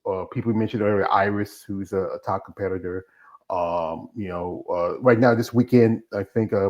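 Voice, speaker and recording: A man hosting a casual interview who asks rambling, enthusiastic questions. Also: on the low side. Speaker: interview host. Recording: slightly dull, lo-fi audio.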